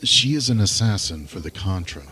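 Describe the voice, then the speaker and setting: A serious, reassuring leader in an audio drama. His voice sits low, with faint ambient noise behind it. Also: expressive, moderate pace, pushed and bright.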